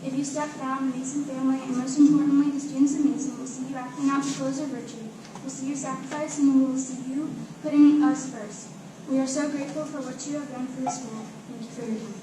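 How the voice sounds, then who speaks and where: A woman's voice: high-pitched, fairly even intonation, fast talker, few pauses. A teenage girl, a student, earnestly reading a formal prepared speech at a public meeting. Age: teens. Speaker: student speaker at a school board meeting. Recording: echoey room, distant mic, clear noise.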